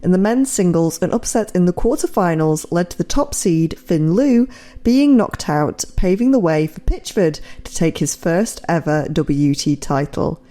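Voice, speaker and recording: A woman's voice: on the low side, animated, a little slow, warm tone. An English woman hosting a podcast, reading scripted narration between interviews. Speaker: podcast host.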